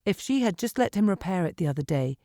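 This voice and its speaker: A woman audiobook narrator reading dramatic fiction in an expressive, measured storytelling manner in a studio. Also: fairly low pitch, dry recording. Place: dry recording studio.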